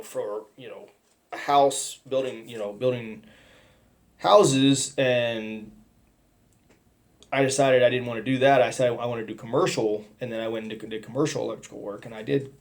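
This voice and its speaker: A middle-aged man giving a personal testimony, talking in a halting, rambling way with many restarts and fillers. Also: medium pitch, darkish tone. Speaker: testimony speaker.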